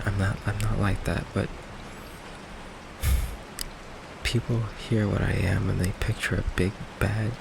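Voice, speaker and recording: Low, gravelly man as a gentle vampire boyfriend. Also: noisy background. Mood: hesitant, vulnerable. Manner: hesitant, halting, soft-spoken, apologetic. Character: gentle, insecure male goth vampire boyfriend confessing his secret. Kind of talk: ASMR boyfriend roleplay. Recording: steady rain ambience behind the voice. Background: rain ambience.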